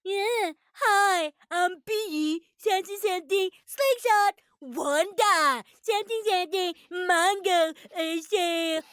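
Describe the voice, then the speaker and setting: A woman as a feisty, high-voiced cartoon girl. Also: slow, few pauses, fairly bright tone, exaggerated voice. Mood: indignant, mocking. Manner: bickering, scolding. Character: feisty, indignant girl heroine.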